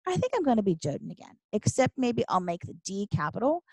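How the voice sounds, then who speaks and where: A woman's voice: medium pitch, sing-song, quick pace, dark tone, husky, no echo. A woman voicing a flamboyant, sassy and self-assured seventh-grade boy with dramatic emphasis, in an audiobook. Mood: breezy. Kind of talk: audiobook character dialogue.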